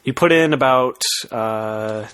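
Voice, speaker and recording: A man's voice: mid-range voice, takes it slowly, fairly bright tone, smooth. Casual man co-hosting a podcast. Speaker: podcast co-host. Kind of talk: casual comic banter.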